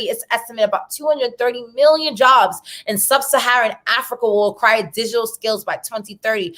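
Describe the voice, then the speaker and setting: Earnest woman giving a startup pitch. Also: fairly bright tone. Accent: American. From Haitian descent. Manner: earnest, emphatic, repeats key phrases. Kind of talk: pitch presentation.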